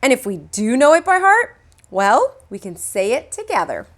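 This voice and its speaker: A woman's voice: a little high, big pitch swings, normal speed, voice pushed up. A woman voicing a harried, coaxing mother of small children in a storybook. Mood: firm, coaxing.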